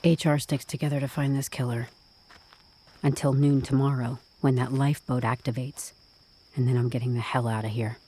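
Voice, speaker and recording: A woman's voice: rumbling low, level tone, moderate pace, warm tone, a little hiss or hum. An actress playing a shrewd female HR sleuth in a podcast drama, matter-of-fact and decisive in a naturalistic style. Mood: resolute, guarded. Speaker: actor in a scripted podcast. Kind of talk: naturalistic audio drama acting.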